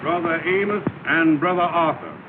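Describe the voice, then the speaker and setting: An actor in a 1930s film comedy playing a pompous, ceremonious lodge leader who speechifies with mangled formal words. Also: male voice, on the high side, moderate pace, natural voice. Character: pompous, ceremonious male lodge leader presiding over meeting. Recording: scratchy, tinny, noisy 1930 film soundtrack.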